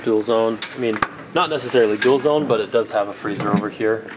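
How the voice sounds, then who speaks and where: A man's voice: on the low side, fast, over a noisy crowd. A young man giving a plain, explanatory walkthrough of a trailer's features in a warehouse showroom. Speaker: trailer salesman. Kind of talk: casual product walkthrough. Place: large indoor warehouse showroom. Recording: noisy warehouse camera audio.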